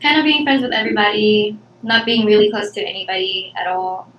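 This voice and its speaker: A woman in her twenties, from the Philippines, answering interview questions softly and hesitantly, with many pauses and ums. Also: medium pitch, fairly even intonation, dull audio. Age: 20s. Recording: echoey room, faint background noise.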